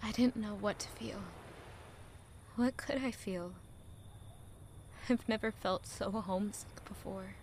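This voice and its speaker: A homesick young woman, played by an actress, reflects in an audio drama monologue. She speaks slowly and with subdued confusion, over faint ambience. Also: mid-range voice, a little mellow, slight background noise. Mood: homesick, lost.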